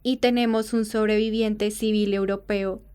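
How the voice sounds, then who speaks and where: A woman acting as a solemn female radio reporter from the war years, with a clear, resonant, mid-pitched voice. She reads news in a formal, measured announcer style for an audio drama. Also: little pitch movement, darkish tone, lightly acted. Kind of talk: scripted radio news narration.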